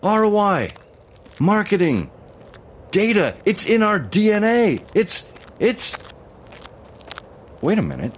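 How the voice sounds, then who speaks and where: An actor playing a pompous, excitable ghost of an old-time explosives salesman, narrating an audio musical in grandiose, old-fashioned language. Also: high-pitched, a little hiss or hum, heavily acted. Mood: baffled, indignant.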